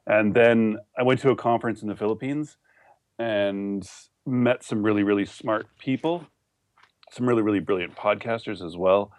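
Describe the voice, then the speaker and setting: Podcast guest: male, Canadian accent, lowish pitch, dry close sound, informal rambling storyteller. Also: moderate pace, no room sound. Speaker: podcast guest, online entrepreneur. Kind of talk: casual interview chat.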